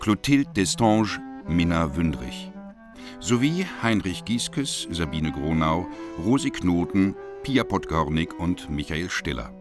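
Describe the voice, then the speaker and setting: A male announcer reading the credits of a radio drama in a slow, formal manner. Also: sits low, animated. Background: music.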